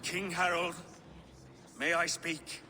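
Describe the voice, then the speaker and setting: An actor playing a weary old Viking chieftain in a video game, with solemn oratory. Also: male voice, a little high, little pitch movement, takes it slowly, background noise. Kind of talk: dramatic video game cutscene acting.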